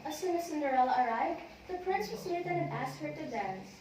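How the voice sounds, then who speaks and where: High-voiced woman narrating in an echoing room. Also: animated, a little fast, a little mellow. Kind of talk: scripted storybook narration in amateur readers' theater. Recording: very echoey room, distant, noisy.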